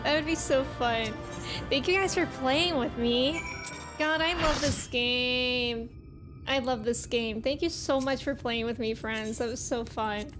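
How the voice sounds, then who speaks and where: A woman's voice: sits high, a little slow, over music. A woman chatting casually and playfully as she livestreams a video game, reacting and greeting viewers.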